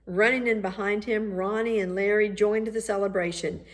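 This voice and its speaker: A woman reading a children's story aloud in an expressive, deliberate storytelling style. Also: mid-range voice. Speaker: storybook reader. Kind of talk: scripted children's story narration.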